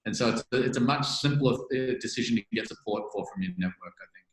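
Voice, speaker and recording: A man's voice: medium pitch, brisk. A man being interviewed as a guest, explaining things conversationally and often tagging on "right?". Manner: explanatory, many restarts and "right?" tags. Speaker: venture capital partner, guest. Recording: echoey room.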